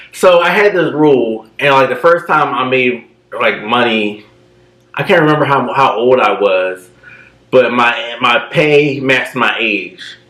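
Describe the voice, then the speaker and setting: A man in his thirties telling stories in a relaxed, casual way, filling his talk with "you know". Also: medium pitch, slow pace, roomy sound, rough recording. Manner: relaxed storytelling with many fillers. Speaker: co-host. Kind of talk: casual chat.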